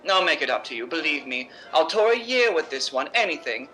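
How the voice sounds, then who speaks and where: A man's voice: a little high, big pitch swings, a little fast, sharp tone, slight background noise, low-quality recording. A man playing an imperious middle-aged female stage diva in a radio drama, wry and declamatory. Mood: insistent. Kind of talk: recreated 1950s radio drama acting.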